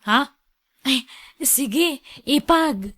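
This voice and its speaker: An actress playing a timid, hesitant young wife in a radio drama, speaking with an Ilocano accent. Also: female voice, high voice, animated, very slow, pushed and bright, exaggerated voice.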